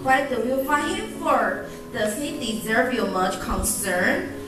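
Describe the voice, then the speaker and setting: A woman's voice: on the high side, expressive, takes it slowly. A young woman playing a concerned, questioning daughter in a student radio play, recorded in an echoey hall. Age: young adult. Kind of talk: student radio drama acting. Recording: very echoey hall, distant mic, music behind.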